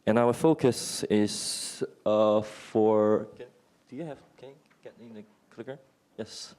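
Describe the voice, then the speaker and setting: A man from Hong Kong presents his company to a conference audience in a lowish voice. He jokes as he goes, and his English grammar is loose. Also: slow, warm tone. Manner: joking, non-native grammar. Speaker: founder and CEO panelist. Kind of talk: conference panel introduction. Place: conference room.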